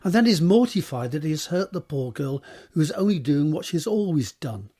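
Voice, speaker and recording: A man narrating scripted stage directions for an audio drama in a measured, descriptive reading. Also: a little high, very animated, dark tone, slightly rough, soft background music.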